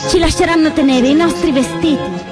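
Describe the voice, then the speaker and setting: A woman's voice: sits high, expressive, brisk, over music, pitched above the performer's own voice. A woman playing a hopeful young woman prisoner in a stage drama, calling out eagerly to companions. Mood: naive hope. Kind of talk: theatrical monologue acting.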